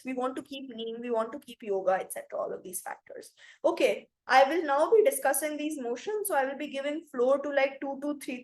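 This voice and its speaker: A young woman with an Indian English accent, running an online debate training session and explaining motions with lots of "like" and "you know". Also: high-pitched. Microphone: video call.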